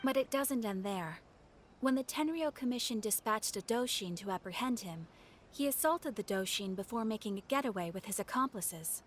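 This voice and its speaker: A woman's voice: a little high, lively intonation, moderate pace, slight background noise, lightly acted. A woman playing a composed, polite guild receptionist in a game, speaking formally. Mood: calm. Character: poised adult woman receptionist, courteous and measured. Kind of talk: game voice acting.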